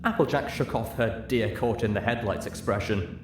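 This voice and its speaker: A man's voice: fairly low pitch, moderate pace, background noise. A man narrating a story as a dramatic audiobook reader, recorded in a room. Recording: echoey room.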